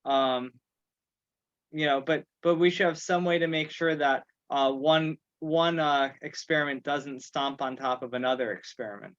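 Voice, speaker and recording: A man with a slightly high voice chairs a casual online meeting. He talks haltingly, working through his thoughts aloud. The sound is dry and a little dull, as on a video call. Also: a bit bright, no room sound. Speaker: meeting host and organizer. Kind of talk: informal online meeting talk. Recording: muffled video call audio.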